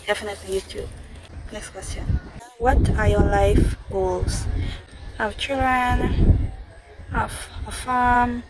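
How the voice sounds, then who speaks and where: A young woman with a Trinidadian accent, answering viewer questions in a casual, chatty vlog and repeating herself often. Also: fairly high pitch. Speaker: vlogger. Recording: noisy home recording.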